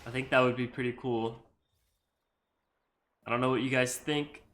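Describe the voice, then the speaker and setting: A man's voice: medium pitch, fairly even intonation, moderate pace, a bit bright. A young man from Iceland with an American accent, chatting casually over his gameplay in a rambling way full of fillers. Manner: rambling, full of likes and you-knows. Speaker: gamer and video creator.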